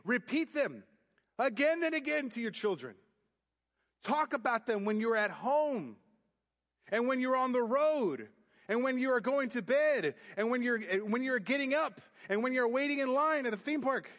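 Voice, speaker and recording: A youth pastor preaching a sermon in church, booming then dropping to quiet emphasis. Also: male voice, animated, moderate pace. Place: church sanctuary. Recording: long hall reverb. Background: hall reverb.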